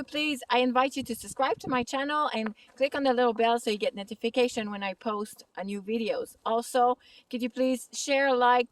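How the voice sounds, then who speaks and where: A woman with a French Canadian accent giving a casual kitesurfing lesson outside on a snowy lake, speaking slightly halting English. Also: on the high side, distant mic, low-quality recording. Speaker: kitesurfing instructor. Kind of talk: outdoor tutorial vlog. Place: snowy lake outdoors in winter.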